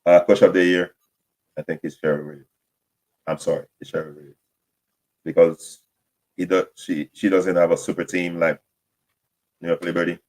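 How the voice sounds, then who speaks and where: A man with a very low voice hosts a casual solo podcast. English is his second language after French and Haitian Creole. He rambles, restarts and apologizes for his mistakes. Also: level tone, moderate pace, long pauses, a little mellow, poor audio. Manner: rambling, self-correcting, apologetic. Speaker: podcast host. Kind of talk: casual solo sports talk.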